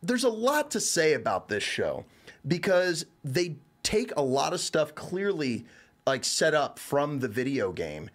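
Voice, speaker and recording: A man's voice: a little high, sing-song, unhurried. A man giving a casual, conversational review as a show's reviewer, rambling informally. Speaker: reviewer on a show.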